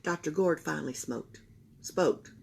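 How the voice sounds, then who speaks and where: A woman reading a children's story aloud, expressive and emphatic, with deliberate phrasing. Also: sits low, gravelly, breathy edge. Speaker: library storytime reader. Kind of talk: animated children's read-aloud.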